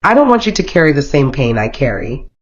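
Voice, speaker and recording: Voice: mid-range voice, sing-song, fairly quick, breathy edge. A narrator acts out a middle-aged mother in a folktale. She confides with sorrow and regret. The sound is dull and lo-fi. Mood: wistful regret.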